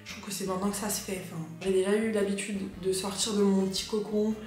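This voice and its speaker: A young woman vlogging as an au pair, chatting in a lively, slangy way. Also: medium pitch, quick pace. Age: young adult. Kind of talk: casual chat. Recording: very echoey room with music.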